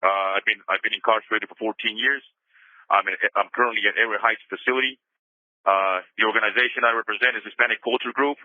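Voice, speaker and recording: A man's voice: on the low side, fairly even intonation, quick pace, bright, forceful tone, no room sound. A man from Yakima County, Washington, answering prepared questions and reading with stumbles and frequent "uh" fillers. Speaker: incarcerated cultural group member. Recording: tinny phone line.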